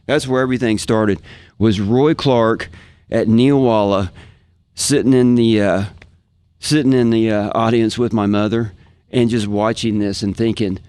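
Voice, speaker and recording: American guy on a podcast telling old stories, kinda low voice, really into it, dry sound. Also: steady tone, unhurried, a little mellow, clear, resonant tone, no echo. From Kansas, United States. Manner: enthusiastic, repeats phrases for emphasis. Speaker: guest, professional guitarist. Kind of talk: casual podcast chat, reminiscing.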